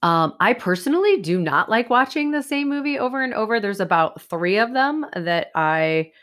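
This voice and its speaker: A woman's voice: on the high side, animated, normal speed, fairly bright tone. A woman hosting a casual solo podcast, chatty and rambling, her voice stuffy and nasal from a sinus infection. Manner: chatty, rambling, many fillers. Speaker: podcast host. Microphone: new USB microphone.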